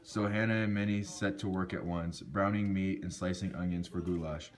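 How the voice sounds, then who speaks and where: A man with a low voice narrates a folk tale from a script. He reads it out as a storyteller, now and then tripping on a word and correcting himself. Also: steady tone, normal speed. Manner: stumbles and corrects words while reading.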